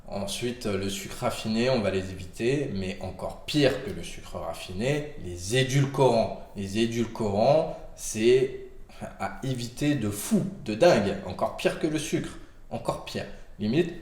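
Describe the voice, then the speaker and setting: Slow-talking man in an echoey room. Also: on the low side, expressive, a little mellow. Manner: rambling, many fillers and restarts, addresses viewer as tu. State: has a cold. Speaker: vlogger giving health advice. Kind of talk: casual advice to camera.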